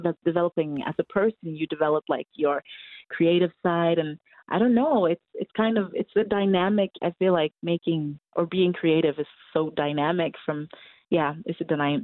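A woman in her twenties with a Norwegian accent speaks English as a second language, pausing and circling back while she hunts for words. Her voice is fairly low and comes through a thin, phone-like line with no room sound. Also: no room sound. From Norway. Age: 20s. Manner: hesitant, searching for English words. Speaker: musician guest. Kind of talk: casual interview over a call.

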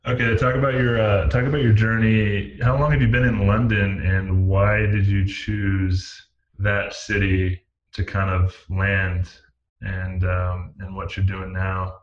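A man's voice: low-pitched, flat delivery, measured pace. An American man hosting a conversational interview, speaking in a reflective, wordy way. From United States. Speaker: interviewer, host. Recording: echoey room, dull lo-fi audio.

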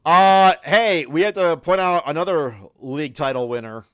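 A man co-hosting a casual football podcast, his voice raspy from a cold, often stumbling over and repeating words and laughing. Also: on the high side, normal speed, no echo. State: sick with a cold. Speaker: podcast co-host.

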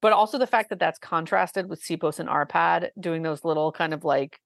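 Low-voiced woman chatting on a podcast. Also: moderate pace, vocal fry, no room sound, clean studio sound. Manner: enthusiastic, chatty, many fillers. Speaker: podcast co-host. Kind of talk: casual chat about a musical.

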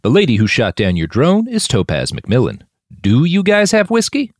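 A man's voice: medium pitch, huge pitch swings, moderate pace, no room sound, studio quality, voice pushed up. A man voicing a big, friendly posthuman warrior with a casual, slangy manner in an audiobook story.

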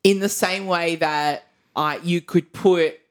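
A woman's voice: on the low side, fairly even intonation, slow. A woman co-hosting a casual chat about audition songs, laughing often and trailing off mid-sentence. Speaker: podcast co-host, singer.

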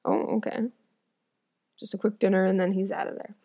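A woman's voice: mid-range voice, level tone, moderate pace, gravelly, dark tone. Wry woman reading a fairy tale. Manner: wry, amused, chatty commentary. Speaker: actor, writer and comedian reading a fairy tale. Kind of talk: storytelling reading with joking asides.

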